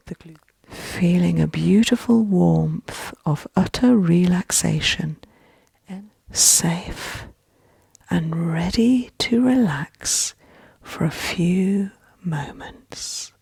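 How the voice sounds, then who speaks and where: A woman speaks very breathily and gently, guiding a relaxation in soft-spoken ASMR style. Her pace is very slow, with fry creeping into the hushed, often whispered delivery. She sounds soothing throughout. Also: fairly low pitch, no echo. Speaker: relaxation guide.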